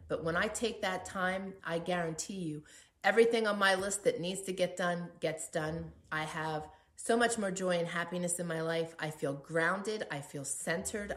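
A woman hosting a faith podcast, speaking in an earnest, emphatic way with deliberate repetition as she gives personal testimony and encouragement. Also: on the low side, a bit bright. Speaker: podcast host. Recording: roomy, echoey sound.

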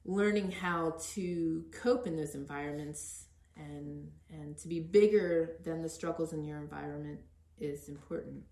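A middle-aged woman with a notably low voice, recorded in an echoey room. She hosts a conversational interview and speaks with earnest encouragement. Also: steady tone, unhurried, hardly any pauses, mellow. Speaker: podcast host interviewing.

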